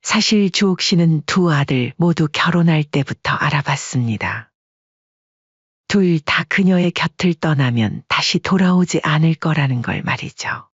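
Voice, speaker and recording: Calm, husky male storyteller. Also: on the high side, unhurried, no room sound, muffled recording. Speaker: storyteller narrator. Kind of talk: scripted audiobook narration.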